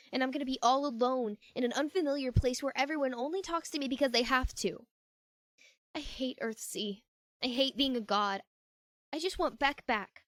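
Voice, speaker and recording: A high-pitched, earnest teenage girl, played by a woman, lonely and anxious beneath her nerdy chatter. She talks in a rambling, conversational way for a fan-made audio drama, recorded close and dry. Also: a bit bright, dry recording, natural voice. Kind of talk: amateur fan-fiction audio drama acting.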